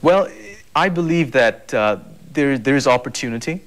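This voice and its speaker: A man's voice: mid-range voice, animated, normal speed. A young man from Zambia, answering interview questions earnestly, with many fillers and repeated words. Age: young adult. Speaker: guest.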